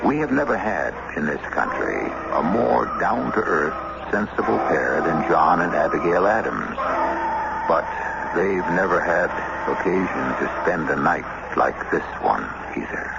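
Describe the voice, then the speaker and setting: A male radio host narrates a mystery with measured, dramatic deliberation. His voice is deep and gravelly. The old recording is thick with noise. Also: expressive, muffled recording.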